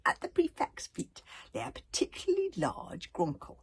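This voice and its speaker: A woman's voice: a little high, huge pitch swings, moderate pace, vocal fry, breathy edge. A woman reading a children's story aloud with dramatic emphasis, occasionally stumbling over her words. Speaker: author reading own book aloud.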